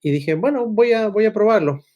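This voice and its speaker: Higher-voiced middle-aged man. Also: animated, moderate pace, a little mellow, highs cut off. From Ecuador. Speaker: podcast guest, agile consultant and coach. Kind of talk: casual conversational storytelling.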